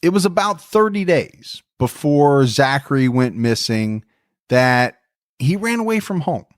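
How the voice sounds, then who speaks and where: A man hosting a true crime podcast, telling the story in a relaxed, friendly way and chatting with his co-host. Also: medium pitch, measured pace, dry recording, clean studio sound.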